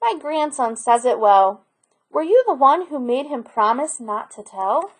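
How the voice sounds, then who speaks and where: A woman's voice: high voice, big pitch swings, measured pace, exaggerated voice. A woman voicing a spirited, inquisitive old grandmother in a storybook read aloud. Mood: questioning, determined.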